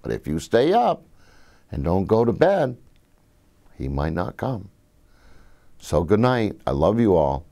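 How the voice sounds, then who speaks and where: An older man, a grandfather, reading a storybook to children in a theatrical, expressive way. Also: fairly low pitch, takes it slowly, gravelly, pauses often.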